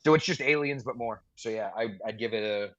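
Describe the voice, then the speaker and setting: Male podcast co-host, conversational and informal, medium-pitched, slightly muffled lo-fi microphone with no room sound. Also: a little fast, fairly bright tone, no room sound. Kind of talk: casual chat.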